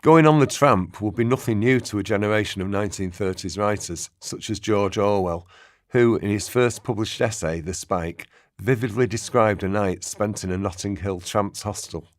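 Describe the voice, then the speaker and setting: A man acting as a sober narrator, giving measured, expository documentary-style narration in a radio play. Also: lowish voice, no echo, natural voice. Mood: sober, explanatory. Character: sober male historical narrator.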